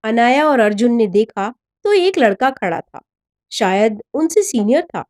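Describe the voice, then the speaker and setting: A woman reading a scripted story in an expressive, dramatic narrator's manner. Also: on the high side, a little slow. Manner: expressive, voices the dialogue of characters.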